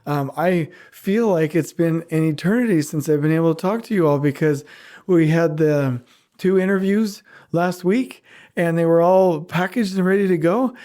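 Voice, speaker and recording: Male solo podcast host, giddy rambling delivery, warm mellow tone, slightly high voice, small shed studio. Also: moderate pace. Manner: giddy, rambling, laughs often. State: voice just recovered. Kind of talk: casual solo podcast talk. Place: small backyard recording shed.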